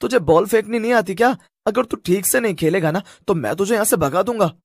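A man's voice: high voice, brisk, vocal fry, no room sound, clean studio sound, voice pushed up. A man voicing a cocky, hot-tempered young village boy who talks in a brash, boastful way in a cartoon story. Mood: bossy, boastful.

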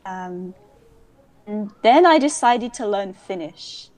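A woman's voice: mid-range voice, expressive, slow pace, darkish tone. A young woman from London with a London accent, a podcast guest telling her story in casual conversation, with many ums and restarts. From England. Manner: chatty, frequent ums and self-corrections.

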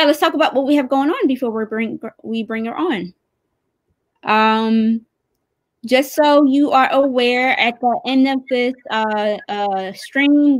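A woman with a fairly high voice hosts a livestream in a casual, chatty style. She is on a video call, with little room sound around her. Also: no echo. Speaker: host, campaign director. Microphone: video call mic.

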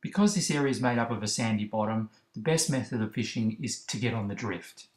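A man, host of a fishing channel, narrating instructional tips in a steady, explanatory way. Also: on the low side, fairly quick. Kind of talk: instructional voice-over about kayak fishing spots. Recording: roomy, echoey recording.

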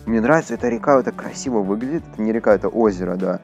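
A man's voice: fairly low pitch, very fast, vocal fry, a little mellow, soft background music. A man doing casual, chatty let's play commentary, talking to his viewers as he plays. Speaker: gaming YouTuber.

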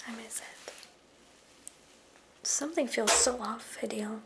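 Slow whispering young woman doing ASMR. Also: on the high side, very noisy. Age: young adult. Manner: mostly whispering, informal and candid. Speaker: ASMR creator. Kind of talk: ASMR roleplay bloopers, whispered and soft-spoken. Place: small decorated bedroom studio indoors.

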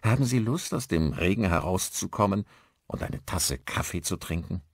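A man acts out a friendly village pastor in an audiobook. He is courteous and talkative, with very lively intonation in a dry recording. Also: low-pitched, normal speed, slightly rough, no echo, full character voice. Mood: friendly, helpful. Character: friendly, chatty village pastor, male, married.

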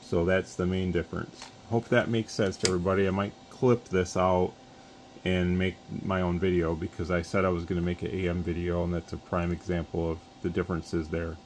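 A man with a very low voice talks plainly and casually through a radio bench demonstration, now and then counting into the mic. Behind him is a faint, steady hum from the room. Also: a little fast. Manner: plain, conversational, with frequent restarts and mic test counts. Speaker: radio shop owner demonstrating equipment. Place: radio workbench full of test equipment, indoors.

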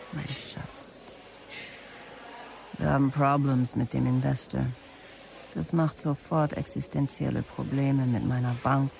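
A woman playing a weary, proud, lovelorn company boss in a radio drama, brooding and dramatic, with a low creaky voice. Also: warm tone, a little hiss or hum, exaggerated voice. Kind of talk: radio drama, dictated messages.

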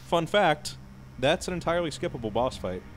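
A man's voice: a little high, expressive, a little fast, a little hiss or hum. A man co-hosting a game playthrough, casually guiding his partner with teasing, profane banter. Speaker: guiding let's-play co-host. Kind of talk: casual let's-play banter. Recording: game music underneath.

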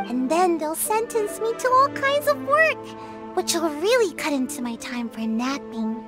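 A woman's voice: very high voice, exaggerated voice. A woman voicing a sleepy, lazy little girl ninja who whines and pleads. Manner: drowsy, whiny, pleading. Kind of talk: game voice acting. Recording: game score behind.

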